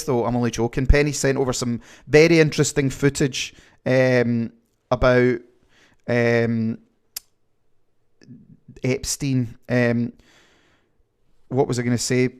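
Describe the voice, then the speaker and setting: A man's voice: mid-range voice, fairly even intonation, unhurried, pauses often, clean tone. A man with a Glaswegian accent hosting a livestream, chatting casually and reading viewer comments, rambling with many fillers. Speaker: livestream host.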